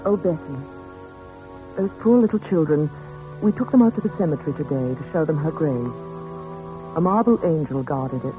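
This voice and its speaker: Anxious gentle lady, soothing and hesitant, mellow tone, 1940s radio drama, music behind. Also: sits low, lively intonation, normal speed, long pauses, highs cut off. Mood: troubled, reflective.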